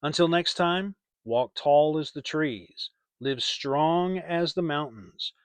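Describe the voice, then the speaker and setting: A man giving an expressive, deliberate dramatic narration of a children's audiobook. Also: fairly high pitch, clean tone, no room sound. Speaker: storyteller and channel host.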